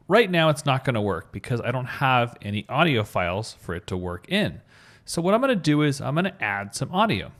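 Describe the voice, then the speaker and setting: A man giving an upbeat, informal screencast coding tutorial, explaining things step by step. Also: medium pitch. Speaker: web developer giving a tutorial.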